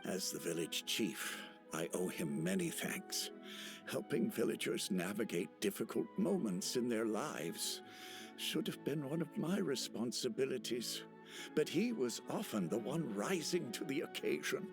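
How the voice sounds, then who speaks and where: An actor playing a humble, gentle elderly village chief in a video game, speaking in a halting, wistful manner. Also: male voice, medium pitch, animated, music in the background. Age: older. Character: kindly, humble elderly male village chief with failing eyesight. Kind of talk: video game character acting.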